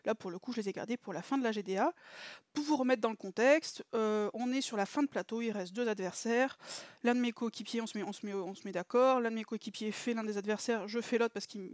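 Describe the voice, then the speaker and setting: A woman hosting a casual gameplay debrief for her viewers, talking in a chatty, hesitant way with lots of filler pauses. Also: on the high side, no room sound, studio quality. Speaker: mobile game channel host.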